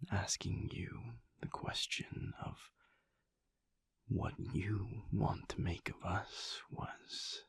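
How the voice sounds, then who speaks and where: A deep, husky male vampire, acted by a man, murmurs in a half-whisper for an intimate audio roleplay. He is calm, tender and guarded, taking his time over each phrase with deliberate pauses. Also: heavily acted. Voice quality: breathy, husky whisper with gravelly creak. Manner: slow, deliberate, pausing mid-sentence. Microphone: close, dry microphone.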